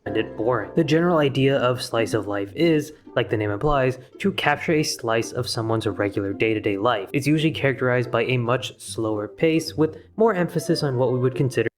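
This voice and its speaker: A man reading a scripted explainer narration in an even, measured way. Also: medium pitch. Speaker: video narrator.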